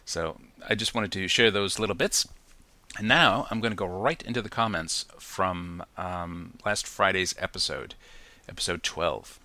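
Very deep-voiced man hosting a podcast. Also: measured pace, bright, forceful tone, no room sound. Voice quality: many ums and restarts, conversational. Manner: chatty, reflective. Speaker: podcast host. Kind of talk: casual solo podcast talk. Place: New Zealand.

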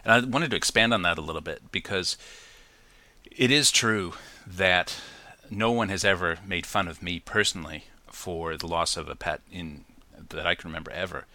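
A man's voice: deep voice, moderate pace, pushed and bright, dry recording. A man hosting a casual solo podcast from New Zealand, chatty and reflective, with many ums and restarts. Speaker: podcast host.

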